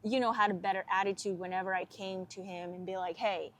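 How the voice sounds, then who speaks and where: A woman from Guatemala, a PhD student guest on a podcast, talking frankly and relaxed, with frequent "like". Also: medium pitch, brisk. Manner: relaxed, frank, uses filler "like" often. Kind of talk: casual interview chat.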